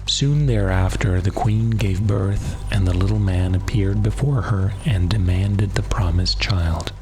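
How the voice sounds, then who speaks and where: A male storyteller with a fairly low voice reads a scripted fairy tale for bedtime. His delivery is measured and hushed, with music playing underneath. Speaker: storyteller, audiobook narrator. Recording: music behind the voice.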